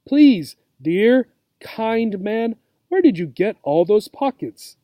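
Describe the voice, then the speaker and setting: A man's voice: high voice, sing-song, takes it slowly, dry recording, dull audio, exaggerated voice. A man voicing a polite, eager mother kangaroo from a children's storybook, pleading and full of wonder.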